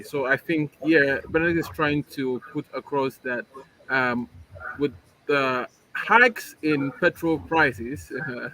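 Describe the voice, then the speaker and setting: Male moderator, mid pitch, hesitant with fillers, video call. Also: fairly bright tone, slight background noise, low-quality recording. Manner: hesitant, many ums. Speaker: host, moderator. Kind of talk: online panel moderation.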